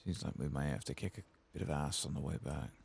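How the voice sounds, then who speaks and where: A man giving hushed, casual ASMR commentary over a video game in a soft murmur. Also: very deep voice, quick pace, gravelly, slightly rough. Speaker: gaming ASMR streamer. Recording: faint background noise.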